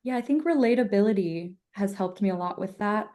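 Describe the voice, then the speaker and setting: A young woman from Germany speaks as a podcast guest with a mellow, warm tone. She explains her teaching in a thoughtful, measured way, often softening points with "kind of". Her pitch sits in a middle range. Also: animated. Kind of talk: podcast interview.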